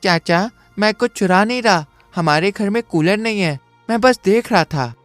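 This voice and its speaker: A woman's voice: medium pitch, animated, moderate pace, no echo, soft background music, close to an everyday voice. Woman voicing a sad poor young boy. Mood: pleading. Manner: pleading, complaining.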